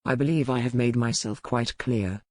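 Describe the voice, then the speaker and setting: Man as stubborn ship's captain, guarded, terse, formal, historical audiobook drama, medium pitch, close dry recording. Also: steady tone, normal speed, a little mellow, no room sound, close to an everyday voice. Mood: curt, insistent.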